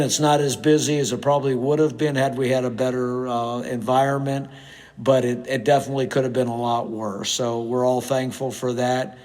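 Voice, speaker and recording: Middle-aged male podcast host, mid-range pitch, casual and chatty, a touch hoarse and nasal from a cold. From United States. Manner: conversational, with frequent fillers. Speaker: podcast host, real estate agent. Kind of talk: casual solo podcast talk.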